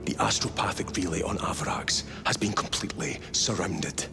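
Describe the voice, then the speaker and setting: A man's voice: sits low, expressive, normal speed, gravelly, music in the background. An actor playing a grim, weary male space marine captain who gives stern, clipped commands in a game cutscene. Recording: game audio with score underneath.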